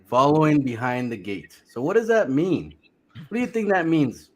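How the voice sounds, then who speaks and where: A man's voice: fairly high pitch, very animated, moderate pace. A man co-hosting a casual livestream, wry and teasing, dropping in dry jokes. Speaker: co-host. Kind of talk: casual chat.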